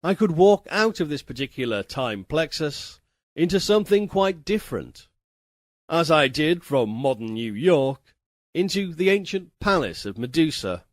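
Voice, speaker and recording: A man voicing a wry, erudite castaway who narrates his bizarre misadventures in a theatrical, deliberate, ironic audiobook style. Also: on the high side, big pitch swings, no room sound, studio quality. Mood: bitter, wry. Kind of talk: dramatic first-person audiobook narration of a pulp tale.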